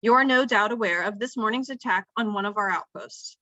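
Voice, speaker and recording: A composed woman in command, acted out by a female narrator, formal and measured like a senior director in a fantasy storybook. The recording is dry and close. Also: medium pitch, sharp tone, no room sound, dull audio, natural voice. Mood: grave. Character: brisk, formal female chief director in authority.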